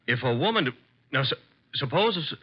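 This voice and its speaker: An actor playing a brisk, wisecracking detective's assistant with an American accent in an old radio drama. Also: male voice, mid-range voice, very animated. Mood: sharp, businesslike. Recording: dull vintage radio broadcast recording.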